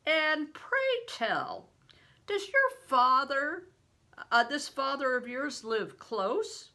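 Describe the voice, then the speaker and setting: A woman voicing a huge, shrewd, blustering medieval man in a storybook, booming and theatrical as he questions in archaic speech. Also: big pitch swings, extremely slow, pushed and bright, exaggerated voice. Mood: probing, sly.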